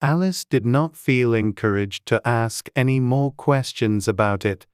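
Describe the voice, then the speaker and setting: A woman's voice: very deep voice, a little slow, a little mellow, smooth, no room sound, studio quality. A woman reading scripted storybook narration as an audiobook narrator.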